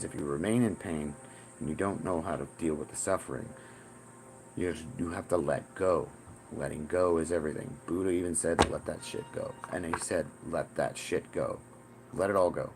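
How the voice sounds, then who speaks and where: A man's voice: low voice, normal speed, a little mellow, a little hiss or hum. A man in his forties talking in a rambling, profane monologue, often repeating himself. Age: 40s. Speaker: self-styled spiritual teacher.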